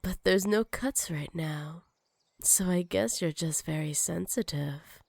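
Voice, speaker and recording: Woman playing a possessive, teasing villainess, low-voiced. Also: slow, gravelly, darkish tone, dry recording, full character voice. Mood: intimate, teasing. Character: possessive, jealous female villain with magic, teasing and menacing. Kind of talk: ASMR roleplay to the listener.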